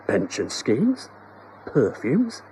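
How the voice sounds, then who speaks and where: A man's voice: mid-range voice, huge pitch swings, extremely slow, dark tone, slight background noise, exaggerated voice. A man voicing a flustered, pompous husband trying to sound stern.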